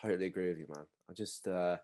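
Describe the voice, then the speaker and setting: A man with a fairly low voice hosts a podcast conversation. He backs his guest up, agrees readily and calls him brother. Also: quick pace, warm tone. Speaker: podcast host, men's mental health group founder.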